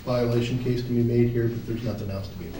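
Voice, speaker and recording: A man's voice: mid-range voice, fairly even intonation, fast talker. Blunt man in a board meeting. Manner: blunt, pushes to move on. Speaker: board member. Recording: roomy, distant meeting-room mic with noise.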